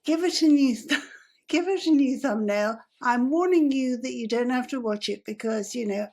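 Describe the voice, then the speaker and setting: A woman in her fifties talks to her viewers in an unhurried, meandering way, her voice gravelly and a little husky. She is dry and self-deprecating, and she sits slightly higher than most women. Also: darkish tone. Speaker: YouTuber. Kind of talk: casual vlog chat to camera.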